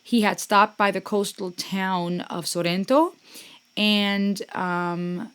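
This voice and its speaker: A middle-aged woman hosting a music reaction, talking casually and warmly, often pausing with ums to search for words. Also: mid-range voice, clear, resonant tone. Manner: warm, gushing, searching for words with many ums.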